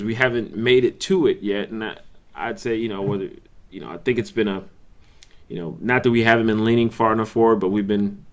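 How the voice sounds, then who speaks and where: A man chairing a conference call, giving informal updates in a halting, thinking-aloud way. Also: fairly low pitch. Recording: dull lo-fi conference call audio.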